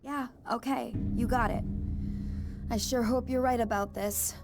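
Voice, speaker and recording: A jumpy, stammering young motel clerk. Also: female voice, on the high side, fairly even intonation, a little slow. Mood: uneasy. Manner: hesitant, stammering, uneasy. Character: nervous young woman motel worker, jumpy and anxious. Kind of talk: video game cutscene acting. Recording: game audio over music.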